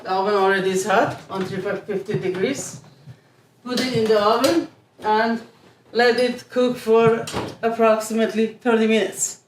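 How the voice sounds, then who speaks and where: A woman's voice: on the high side, steady tone, a little hiss or hum. Woman from iran walking through a recipe, lots of uhs, kinda echoey kitchen. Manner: unhurried, many filler "uh"s. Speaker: home cook. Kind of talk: cooking demonstration. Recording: echoey room.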